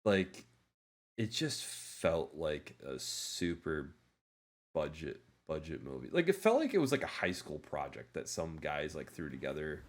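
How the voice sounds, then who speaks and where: A man with a low voice talks as a podcast co-host. His delivery is casual and conversational, rambling through retellings with animated exaggeration. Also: measured pace. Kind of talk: casual movie discussion chat.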